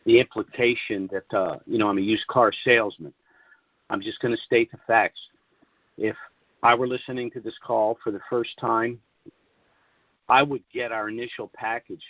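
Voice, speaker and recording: A man giving a sales presentation on a conference call, speaking in deliberate, measured phrases with emphatic pauses. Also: on the low side, no room sound. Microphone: conference call line. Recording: dull, lo-fi conference call audio.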